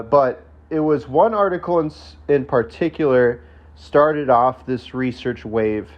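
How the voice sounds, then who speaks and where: A man with a stuffed-up, congested voice talks casually to his viewers, wandering through fillers and false starts. His throat is still rough and he coughs now and then. The recording sounds a little dull and muffled, and his pitch sits in an ordinary middle range. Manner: rambling, lots of fillers and restarts. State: stuffed up from a sinus infection; coughing, throat not fully recovered. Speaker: channel host. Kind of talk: casual solo video talk.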